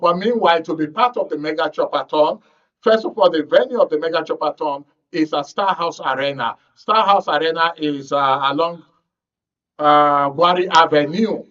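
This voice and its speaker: A man's voice: fairly high pitch, normal speed, a bit bright. A man with a Nigerian accent presenting a live radio talk show as its host. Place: radio studio. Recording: distant, slightly muffled studio audio.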